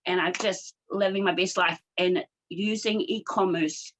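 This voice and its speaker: A woman from New Zealand, a guest business owner, talking in an expressive, casual way from her rural home off the grid. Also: lowish voice, measured pace, a little hiss or hum.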